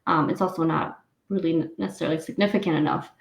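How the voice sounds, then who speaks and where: A fairly low-voiced woman in her thirties with a New York–New Jersey accent hosts an interview, rambling a little with many ums and restarts. Her voice rings in a roomy, echoey space. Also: steady tone, fast, creaky. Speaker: podcast host. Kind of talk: interview chat. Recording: echoey room.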